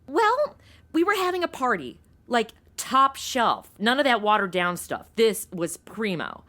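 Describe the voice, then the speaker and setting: High, bright, indignant party-girl temp played by a woman. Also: sing-song, measured pace, full character voice. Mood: flustered. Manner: exasperated, breezy modern slang. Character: flighty young female temp secretary, indignant party girl. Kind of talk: comedic audio drama acting.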